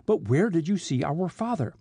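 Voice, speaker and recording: A man's voice: a little high, lively intonation, normal speed, mellow, breathy edge, no room sound. A man doing an impatient teenage boy. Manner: earnest, impatient. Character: eager, impatient teenage boy searching for his father.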